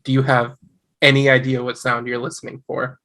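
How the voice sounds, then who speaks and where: Casting: exasperated young sitcom husband man reading, average pitch, sharp forward tone, wry and sarcastic. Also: monotone, a little fast, natural voice. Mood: sarcastic exasperation. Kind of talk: sitcom table read.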